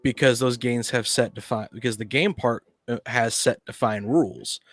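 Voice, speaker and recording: A man's voice: medium pitch, fairly even intonation, moderate pace, fairly bright tone, dry recording, clean studio sound, soft background music. A man on a podcast panel in casual debate, arguing insistently and cutting in to press his point. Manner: argumentative, insistent, interrupting.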